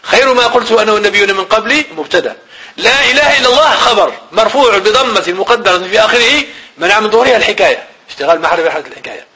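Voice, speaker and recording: A man's voice: high voice, expressive, racing, pushed and bright. A man with a Moroccan Arabic accent teaching a grammar lesson. He repeats phrases, asks the class questions and then answers them himself.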